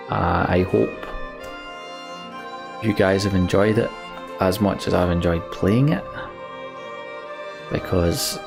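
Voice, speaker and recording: A man giving casual, reflective game commentary as a let's play host, halting and wistful, often sighing. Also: deep voice, creaky. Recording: music behind the voice. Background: game soundtrack music.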